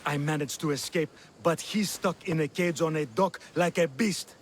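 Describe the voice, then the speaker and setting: An actor playing a pompous, wealthy older exile, smug and condescending, in a video game cutscene. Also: male voice, fairly high pitch, moderate pace, slight background noise, close to an everyday voice. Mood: pleading. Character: pompous, wealthy old exiled Athenian man.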